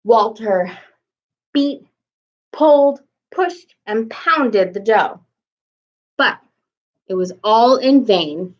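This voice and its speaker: Young female storybook reader for kids: lively intonation, unhurried, slightly dull home webcam sound. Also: a little high. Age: young adult. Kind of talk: expressive bedtime story reading for children. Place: small room at home, indoors. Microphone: webcam at arm's length.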